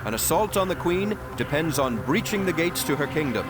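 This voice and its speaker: Noble gryphon warrior, game dialogue, rallying, formal, lively melody of speech, loud music underneath. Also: male voice, fairly high pitch, normal speed. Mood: noble, resolute. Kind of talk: heroic video game acting.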